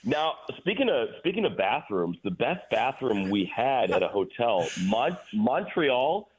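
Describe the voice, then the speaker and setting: A man's voice: mid-range voice, sing-song, measured pace, slightly rough. A man talking sports in a casual interview, explaining as a guest announcer over the phone. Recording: tinny phone line.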